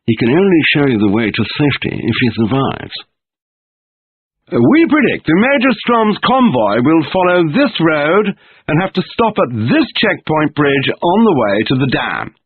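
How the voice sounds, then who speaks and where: A formal briefing commander over a tinny line. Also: male voice, on the high side, big pitch swings, moderate pace, breathy edge, no echo. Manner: formal, instructive. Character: male commander giving mission briefings.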